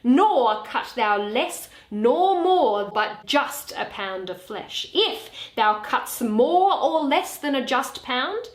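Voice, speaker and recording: A woman playing a stern, commanding young lawyer, speaking formal Shakespearean verse with deliberate emphasis. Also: high voice, heavily acted. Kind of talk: Shakespearean stage verse reading. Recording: roomy, echoing room sound.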